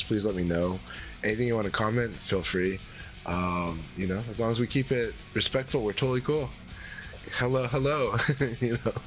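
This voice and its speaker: A man hosting a livestream in a relaxed, rambling way, his voice deeper than usual after secondhand smoke. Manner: relaxed, rambling, many fillers. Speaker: streamer. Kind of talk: casual livestream chat.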